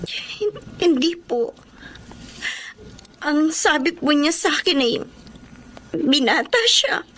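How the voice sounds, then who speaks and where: Meek, high-voiced young Filipina. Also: female voice, lively intonation, takes it slowly, a bit bright, full character voice. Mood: distressed, tearful. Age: young adult. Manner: meek, polite, hesitant. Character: timid, troubled young pregnant girlfriend, deferential and grateful. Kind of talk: Filipino radio drama acting.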